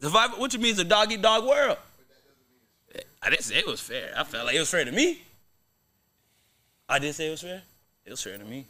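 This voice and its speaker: A man's voice: on the high side, very animated, brisk, long pauses, pushed and bright. A young American man hosting a podcast, talking in casual, slangy, profane banter and arguing his points. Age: young adult. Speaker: podcast host. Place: small podcast studio, indoors. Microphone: close boom-arm microphone.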